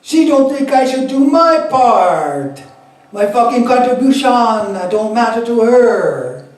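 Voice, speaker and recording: A loud, lecturing Rastafarian man in his fifties with a Jamaican accent, pitched high and turning statements into questions. He talks forcefully and sounds far from the mic in a very echoey room. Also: big pitch swings, slow pace, full character voice. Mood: indignant. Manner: emphatic, ends sentences as questions. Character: loud, preachy middle-aged Rastafarian man.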